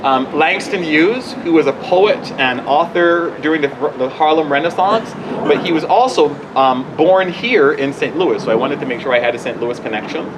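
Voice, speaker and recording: A man in his forties from Barbados speaks in a slightly high voice. He talks informally and haltingly, doubling back on his sentences as he fields questions as a bishop. He is outdoors, with some background noise around him. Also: expressive. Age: 40s. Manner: halting, conversational, often restarting sentences. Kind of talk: informal question-and-answer talk. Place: outdoors at a church gathering.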